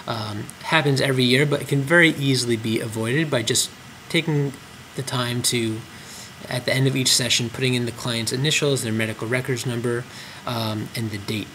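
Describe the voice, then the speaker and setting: Male trainer, mid-pitched voice, relaxed explanatory screen tutorial, faint hum. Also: normal speed, low-quality recording. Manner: explanatory, frequent ums and uhs. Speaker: clinic trainer. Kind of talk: screen-recorded software tutorial.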